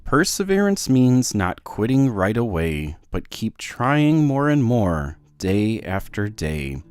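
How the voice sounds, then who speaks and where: A man's voice: fairly low pitch, slow pace, smooth, no echo. A man voicing a patient, encouraging father who reassures and teaches his young son in a children's storybook. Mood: instructive. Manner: reassuring, instructive, animated.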